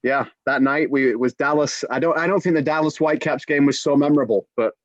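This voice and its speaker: A man's voice: on the high side, fast talker, no room sound. Man telling a story over a dull video call. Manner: animated anecdote telling with many restarts. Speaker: soccer play-by-play commentator. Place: home room with bookshelves. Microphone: video call webcam.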